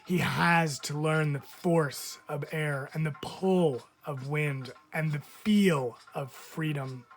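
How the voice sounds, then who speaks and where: A man reading a picture book aloud as a teacher would, expressively, with deliberate dramatic phrasing and frequent pauses. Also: on the high side. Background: background music.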